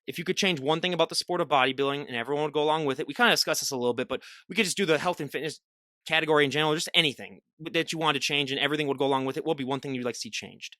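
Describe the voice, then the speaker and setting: A man hosting a casual podcast interview, chatty and rambling, with a somewhat high voice for a man. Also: very fast, sharp tone, no echo, studio quality. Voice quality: higher-pitched than usual for a man. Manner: rambling, chatty, self-deprecating. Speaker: podcast host.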